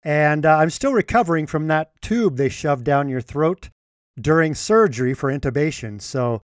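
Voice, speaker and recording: A man hosting his own podcast, sounding congested from a recovering throat and clearing it often, talking in an earnest, indignant way. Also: fairly high pitch, animated, moderate pace, dry recording, studio quality. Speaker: podcast host. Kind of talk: solo podcast commentary.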